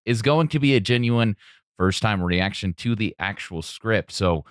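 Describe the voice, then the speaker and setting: Earnest, lower-voiced man co-hosting a podcast. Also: lively intonation, a little slow, no room sound, studio quality. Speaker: podcast co-host. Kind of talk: casual podcast chat.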